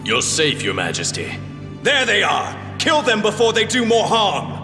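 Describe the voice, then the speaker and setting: A man playing a loyal, excitable soldier in a video game, urgently barking orders. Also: high voice, unhurried, heavily acted. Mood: alarmed, commanding. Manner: shouting urgently. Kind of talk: scripted video game voice acting. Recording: echoey hall reverb with music.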